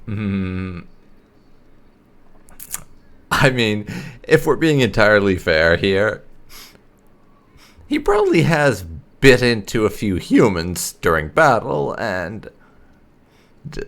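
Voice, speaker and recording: A man doing playful roleplay commentary over a game. His voice is low and full of fry, swinging hugely in pitch as he improvises replies at a leisurely pace. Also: big pitch swings, slow pace, a bit bright. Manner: playful, chuckly. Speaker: gaming video host.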